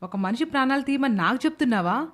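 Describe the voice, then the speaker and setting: A woman voicing an uneasy nurse drawn into her friend's scheme in an animated moral story, with expressive, dramatic delivery. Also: sits high, fast. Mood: shocked.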